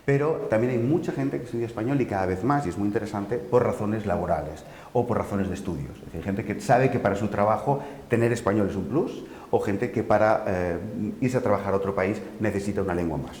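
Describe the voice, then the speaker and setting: A man speaking on camera at a language school, explaining its classes in a measured way with some fillers. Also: fairly low pitch, darkish tone. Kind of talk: explaining on camera during a report. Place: language school in London, indoors. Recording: echoey room, faint background noise.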